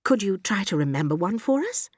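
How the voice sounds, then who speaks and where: A gentle lady schoolteacher as a woman narrator plays her, kindly and a little prim. Her voice is raised and very melodic, attentive and encouraging, in a dry storybook recording. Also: mid-range voice, very animated, a little fast, dry recording, voice pushed up. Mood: curious, insistent.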